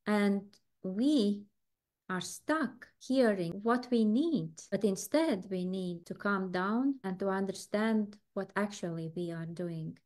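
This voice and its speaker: A Latvian-accented woman in her forties gives an earnest devotional talk straight into her webcam from a room at home. Her English comes in short, halting phrases, and she pauses often as she gathers her thoughts. Her voice sits at an ordinary middle pitch for a woman. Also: a little mellow. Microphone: video call webcam, close framing.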